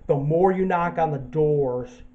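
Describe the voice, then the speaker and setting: A man's voice: a little high, a little slow, phone-quality sound, a little hiss or hum. A young man getting over a cold, rambling through casual let's play commentary with plenty of ums and repeated words. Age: young adult. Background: game music.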